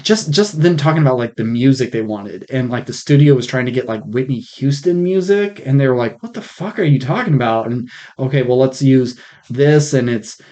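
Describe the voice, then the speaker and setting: A man co-hosting a casual film podcast, speaking in a blunt, opinionated way with casual swearing, recorded on a rough mic. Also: mid-range voice, animated, fairly quick, dark tone. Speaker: podcast co-host.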